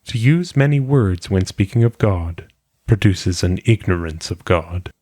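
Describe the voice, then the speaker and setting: A man reading philosophical maxims aloud in a solemn audiobook style, with measured, deliberate phrasing. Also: sits low, expressive, a little mellow, no echo, studio quality. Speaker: reader.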